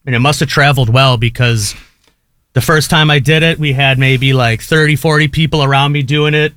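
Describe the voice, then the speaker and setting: A man guesting on a radio show, talking casually with a loud voice in a rambling, hesitant way. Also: mid-range voice, fairly even intonation, fairly quick, no echo. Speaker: studio guest. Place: radio studio. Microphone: studio mic.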